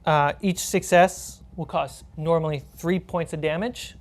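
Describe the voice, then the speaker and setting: Woman game master, on the lower side. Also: steady tone, a little slow. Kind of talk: narrating and refereeing a tabletop role-playing game.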